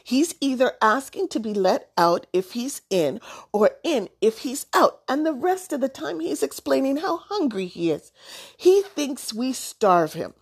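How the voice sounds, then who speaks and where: Storybook boy, young and talkative, high and loud, pleading and theatrical woman performer. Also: very animated, a little slow, slightly rough, exaggerated voice. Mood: explaining. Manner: wheedling, exclamatory, loud. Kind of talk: children's storybook character voice.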